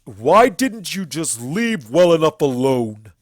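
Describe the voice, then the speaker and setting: A man voicing a bitter, ancient alicorn stallion in a storybook reading, declaiming with scorn and drawn-out emphasis. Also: fairly high pitch, sing-song, no room sound, clean studio sound, pitched above the performer's own voice. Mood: indignant outrage.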